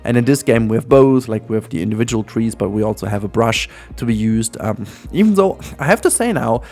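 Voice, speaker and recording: A man's voice: mid-range voice, animated, a little fast, a little mellow. A man with a German accent and German as his first language, hosting casual gameplay commentary in a chatty, rambling way full of ums. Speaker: gaming channel host. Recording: faint music behind.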